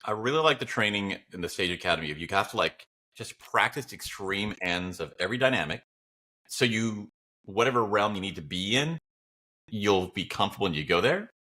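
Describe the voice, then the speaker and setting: Male, fairly low pitch, forceful bright tone, informal podcast chat, encouraging. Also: animated, fairly quick. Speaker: podcast guest, audio drama creator. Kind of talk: casual chat.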